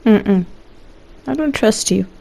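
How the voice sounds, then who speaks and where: Slow-paced, playful woman game streamer. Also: medium pitch, animated, gravelly, mellow. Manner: playfully suspicious, slangy, talks back to characters. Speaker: streamer playing and reacting to a game. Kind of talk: casual live gameplay commentary.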